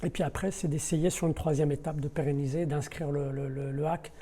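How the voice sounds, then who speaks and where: Mellow middle-aged man, halting delivery. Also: fairly high pitch, steady tone. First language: not French. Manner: hesitant, searching for words with repeated words. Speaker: deputy sporting director of a football club. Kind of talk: podcast interview, spontaneous explanation.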